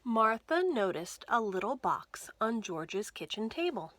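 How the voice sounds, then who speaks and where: Slow, bright-voiced woman reading to children. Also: fairly high pitch. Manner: slow, careful, expressive delivery for young children. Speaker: children's librarian and storyteller. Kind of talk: animated picture-book read-aloud for children. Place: small indoor room lined with bookshelves.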